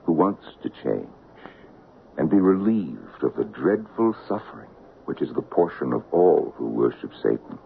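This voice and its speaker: A gravelly, low-voiced doctor, coaxing and lilting; tinny old radio. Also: male voice, slight background noise, heavily acted. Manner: gentle, coaxing, lilting storyteller delivery. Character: soothing, patronizing middle-aged male doctor. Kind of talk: 1970s radio drama acting.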